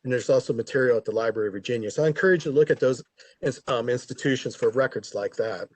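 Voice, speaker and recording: A man's voice: medium pitch, fast, darkish tone, dry recording. A man who manages historic records, explaining them informally on an online panel with fillers and self-corrections. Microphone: video call.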